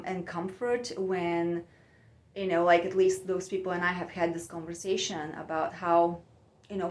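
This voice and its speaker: A woman from Bulgaria, musing in a soft, meandering way with many pauses and fillers as she hosts her own podcast from home. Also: fairly low pitch. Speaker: podcast host. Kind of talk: reflective personal monologue. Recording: echoey room.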